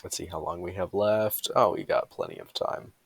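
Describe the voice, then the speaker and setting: A man's voice: low-pitched, wildly swooping pitch, normal speed, vocal fry, breathy edge, dry recording. A man reading humorous bedtime stories aloud with a mock-serious storytelling delivery.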